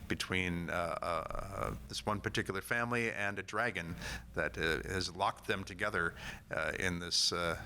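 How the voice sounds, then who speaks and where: A man giving an informal convention panel talk in a halting way, often repeating words. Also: low voice, bright, forceful tone. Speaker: panel speaker, audio drama company founder and actor. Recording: live panel with noticeable room noise.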